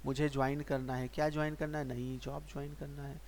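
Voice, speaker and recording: Guy teaching english grammar in hindi, kinda high voice, asks himself questions, slight hiss. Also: little pitch movement, darkish tone. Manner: patient, repetitive, asks and answers his own questions; slows right down to spell out English sentences. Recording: faint hiss.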